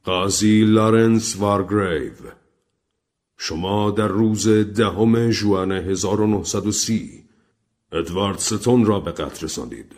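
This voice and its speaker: A man voicing a stern, solemn accuser who reads out charges slowly and declamatorily in an audio story. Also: fairly low pitch, darkish tone, close to an everyday voice. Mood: solemn, accusing. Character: stern recorded accuser, male, solemnly pronouncing murder charges.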